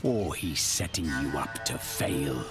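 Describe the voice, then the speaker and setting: Very deep, slow, grim warrior. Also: male voice, lively intonation, exaggerated voice. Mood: stern. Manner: solemn, terse, formal. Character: grim, blunt male warrior hero speaking of death. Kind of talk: fantasy game voice acting. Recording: loud music behind the voice.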